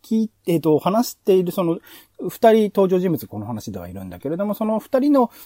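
A man's voice: high voice, big pitch swings, brisk, mellow, no echo, studio quality. A man hosting a podcast, giving conversational review commentary in an analytical, explanatory way. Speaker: podcast host.

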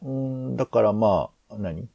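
Very gravelly, hesitant man. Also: lowish voice, measured pace, dry recording, lo-fi sound. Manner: hesitant, tentative, circling around points. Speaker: podcast co-host. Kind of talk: casual discussion.

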